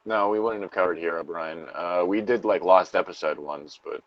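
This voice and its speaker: A man's voice: low-pitched, moderate pace, dry recording, dull audio. A man co-hosting a story-reading show, switching between informal, sweary banter and reading horror stories aloud. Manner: informal, profane.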